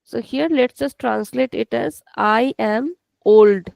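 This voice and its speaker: A woman's voice: a little high, takes it slowly, creaky, darkish tone, no echo. A woman with an Indian English accent teaching an online language class, explaining patiently and repeating each point step by step.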